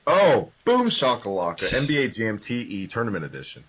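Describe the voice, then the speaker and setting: A man's voice: mid-range voice, wildly swooping pitch, fairly quick, a bit bright. A man hosting a casual podcast, joking and rambling, a little under the weather. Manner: rambling, joking, self-correcting. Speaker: podcast host. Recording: dull lo-fi podcast audio.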